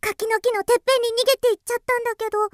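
A woman voicing an excitable little girl in a radio drama, pleading and marvelling in a childlike way. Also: very high-pitched, racing, no room sound. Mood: eager wonder. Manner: childlike, breathless pleading and wonder.

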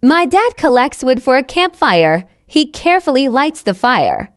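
A woman reading a scripted story for language learners, with careful enunciation and identical, measured phrasing. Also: sits high, big pitch swings, dry recording, studio quality. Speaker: narrator of English learning stories.

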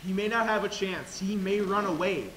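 Young man playing an anxious, high, sharp-voiced townsman. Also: moderate pace. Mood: worried. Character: anxious, loyal man helping nuns hide children. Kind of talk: amateur stage acting in a high school play. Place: theater stage, indoors. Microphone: distant camera. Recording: echoey stage, hissy distant camera.

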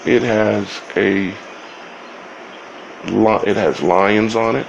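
Male host for informal scripture commentary; low-pitched, heavy vocal fry, halting phrasing, some background noise. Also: steady tone, dull audio. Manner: halting, broken phrases with long pauses. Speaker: video host.

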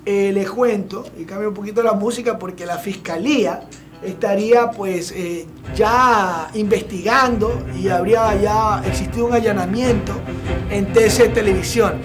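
A young man hosting a TV studio show, giving blunt, opinionated commentary with many filler pauses. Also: high voice. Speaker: TV show host. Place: TV studio set with sofa. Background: background music.

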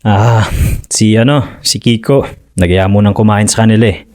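An actor playing a proud, stubborn fisherman husband in a radio drama, who talks easily but quickly turns forceful and loud. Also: lowish voice, fairly even intonation, lightly acted. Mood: tired, easygoing. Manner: easygoing at first, then heated and raising his voice.

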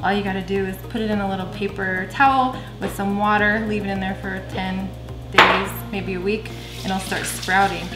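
A woman's voice: mid-range voice, steady tone, normal speed. A woman in her forties co-hosting a home cooking show, sharing casual, enthusiastic kitchen tips. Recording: echoey kitchen with music underneath. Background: background music.

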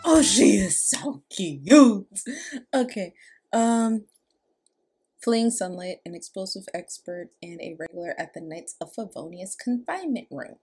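A woman's voice: medium pitch, lively intonation, a little slow, a little mellow, slightly rough. A young woman giving casual, gushing, giggly reaction commentary from a small room. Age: young adult. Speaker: reaction video host. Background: music and game trailer audio.

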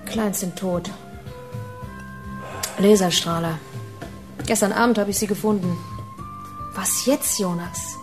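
A woman's voice: mid-range voice, fairly even intonation, slow, long pauses, a little mellow, soft background music, natural voice. A woman playing a cool, weary private detective in a radio play, short and matter-of-fact.

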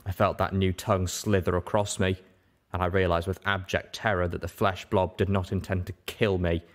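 A man's voice: deep voice, flat delivery, normal speed. A man reading a first-person story aloud as scripted narration. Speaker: audiobook reader.

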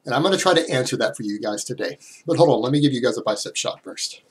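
A man in his thirties, a little hoarse from a cold, explaining fitness science directly to a webcam in a small room at home. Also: medium pitch, expressive, fast. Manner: direct, explanatory, talks with hands. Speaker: fitness YouTuber. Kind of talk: explanatory vlog to camera.

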